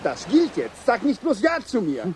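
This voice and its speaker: Urgent man, high strained pitch. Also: huge pitch swings, moderate pace, darkish tone, slight background noise. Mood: agitated, insistent. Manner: agitated, insistent, commanding. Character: urgent man firmly steadying a shaken youth. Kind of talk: radio drama acting.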